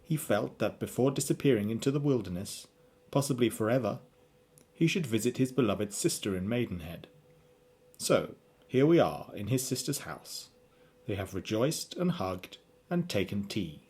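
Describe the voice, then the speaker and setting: A man's voice: fairly low pitch, sing-song, moderate pace, darkish tone, breathy edge. A man reading scripted narration for a radio play, setting out the story.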